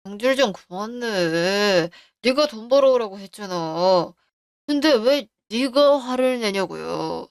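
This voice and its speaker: A woman's voice: mid-range voice, lively intonation, slow pace, smooth, dry recording, studio quality. A woman voicing a brash, selfish husband who brushes off his wife's worries. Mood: dismissive. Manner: dismissive, snapping.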